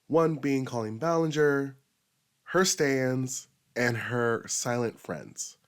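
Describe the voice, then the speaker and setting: A man hosting his own commentary video, his voice raspy and a little nasal from a recent illness, talking emphatically in an unscripted rant and jumping up in pitch for emphasis. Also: slow. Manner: emphatic, with sudden high-pitched bursts. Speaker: commentary YouTuber host.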